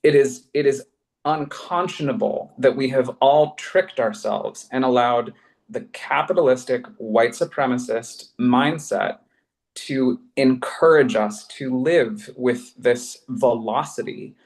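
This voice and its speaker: A man's voice: mid-range voice, slow, low-quality recording. A man speaking conversationally in a panel discussion as a podcast producer, effusive and emphatic, thinking aloud in casual slang.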